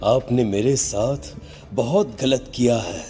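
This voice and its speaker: A man's voice: mid-range voice, slow, warm tone, faint music behind, close to an everyday voice. A man voicing the sorrowful, reproachful ghost of a teenage boy in a dramatized horror story. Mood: bitter reproach. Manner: mournful, reproachful.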